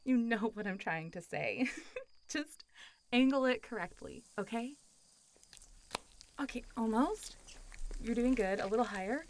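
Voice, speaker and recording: A woman playing a chatty, playful, good-humored female zombie in a casual, teasing, expressive first-person roleplay audio. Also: on the high side, unhurried, long pauses, slight background noise. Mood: mock-annoyed, amused, then encouraging. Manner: giggly, teasing, expressive, mildly sweary. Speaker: voice actor.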